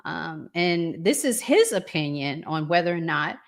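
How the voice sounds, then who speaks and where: Slow-paced woman hosting a casual discussion. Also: low voice, lively intonation, gravelly. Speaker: talk show host.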